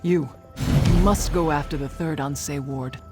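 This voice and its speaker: Actor as higher-voiced earnest game warrior. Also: male voice, fairly even intonation, measured pace, lightly acted. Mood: relieved, urging. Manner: formal, earnest. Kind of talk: video game dialogue acting. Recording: game music clearly behind voice.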